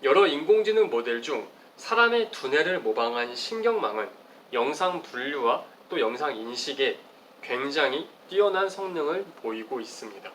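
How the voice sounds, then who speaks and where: A man's voice: mid-range voice, big pitch swings, moderate pace, fairly bright tone. A man delivers a formal academic presentation as a research presenter, explaining phrase by phrase. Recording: distant mic, faint background noise.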